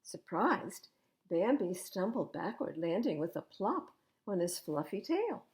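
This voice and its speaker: An older woman reading picture books aloud as a library storytime host, in an expressive, animated manner. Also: fairly low pitch, a little slow. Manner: animated, acting out dialogue.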